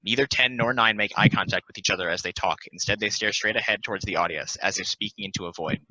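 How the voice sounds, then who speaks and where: Low, very bright male narrator. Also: quick pace, dry recording, muffled recording. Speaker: stage directions reader. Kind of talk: scripted narration in a play table read.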